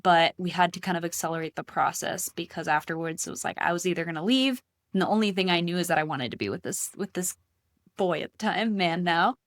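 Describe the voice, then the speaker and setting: A woman in her thirties who grew up in Costa Rica, speaking as a podcast guest. Her voice sits a little low, and she tells her story in a reflective, casual way, recorded close and dry. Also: fairly quick, dry recording. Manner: reflective, storytelling, many fillers. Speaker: podcast guest, healing coach. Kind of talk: casual interview talk.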